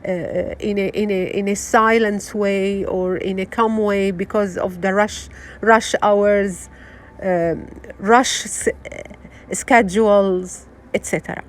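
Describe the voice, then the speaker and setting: A middle-aged woman from Syria with a Syrian Arabic accent, giving an unscripted motivational talk, earnest and halting, full of fillers and restarts. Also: mid-range voice, steady tone. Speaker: wellness vlogger.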